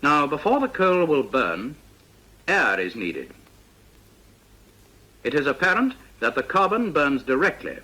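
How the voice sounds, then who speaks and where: Bright-voiced British man narrating training film. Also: on the high side, huge pitch swings, rough recording. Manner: didactic, precise, measured explaining. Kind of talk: scripted instructional narration, vintage training film.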